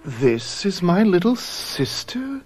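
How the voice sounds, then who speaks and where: A domineering elder brother, master of the house, in a radio drama. He talks slowly and mockingly, with a lively range, over faint music. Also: sits high, husky, heavily acted. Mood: mocking surprise.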